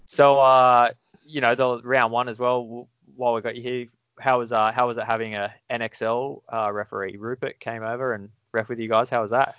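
A man hosting a casual chat, easygoing and halting, laughing often. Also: medium pitch, flat delivery, fairly bright tone, smooth, dry recording, lo-fi sound. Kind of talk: casual conversation.

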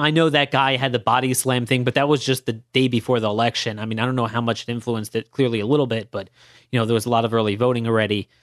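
A hoarse man hosting a political podcast, arguing his point emphatically and asking rhetorical questions. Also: mid-range voice, a bit bright, dry recording, studio quality. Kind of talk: opinionated political monologue.

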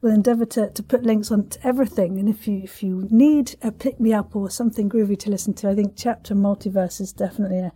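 A woman's voice: medium pitch, steady tone, fast talker, few pauses, dark tone, breathy edge. A woman hosting a casual interview podcast, talking in an effusive, playful way with quirky wording.

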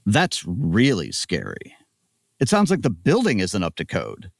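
A co-host in a comedic audio sketch, making dry, deadpan wisecracks. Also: deep voice, unhurried, gravelly, slight background noise.

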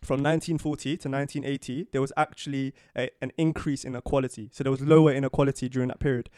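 A young man co-hosting a podcast, relaxed and chatty, with frequent ums. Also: mid-range voice, quick pace, clear, resonant tone, no echo, studio quality. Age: young adult. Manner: relaxed, calls others bro, uses um often. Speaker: co-host. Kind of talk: casual podcast chat.

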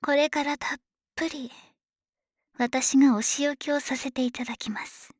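A voice actress playing a possessive, politely formal female dragon maid in an ASMR audio drama. She talks in a soft, breathy, often whispered way. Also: sits high, fairly even intonation, normal speed, no echo, clean studio sound, natural voice. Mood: teasing.

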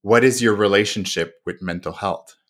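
A man hosting a podcast interview, easygoing and quick to laugh between questions. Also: on the low side, fairly bright tone. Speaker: podcast host.

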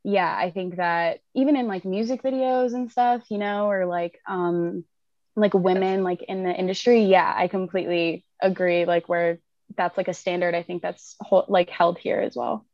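A woman's voice: on the low side, fairly even intonation, normal speed, darkish tone, no echo, lo-fi sound. A woman in her twenties chatting as a podcast guest, rambling with many fillers. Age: 20s. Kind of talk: casual group chat.

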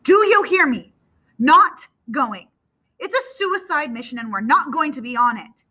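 Woman as a stern, forceful storybook father. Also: a little high, animated, slow pace, voice pushed up, full character voice. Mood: angry, anguished. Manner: firm, emphatic, commanding. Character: stern, forceful, grief-stricken father of a teenage boy.

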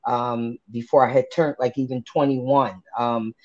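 A woman's voice: very deep voice, fairly even intonation, a little slow, a little mellow. A woman in her forties sharing her personal faith testimony, speaking candidly and earnestly with religious phrasing. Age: 40s. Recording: dull lo-fi podcast audio.

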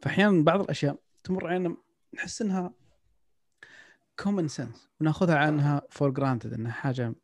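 A man's voice: on the high side, fast talker, no room sound. A man hosting a casual podcast chat, reflective, slipping English phrases into his talk. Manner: reflective, mixes in English phrases, many restarts.

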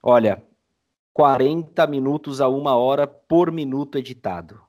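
A man's voice: medium pitch, normal speed, darkish tone. A man speaking as a guest in a casual interview, explaining things informally. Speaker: podcast guest, audio drama creator.

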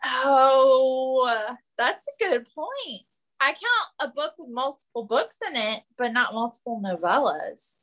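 High-voiced, animated, opinionated woman. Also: moderate pace. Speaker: podcast panelist. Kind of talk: casual chat.

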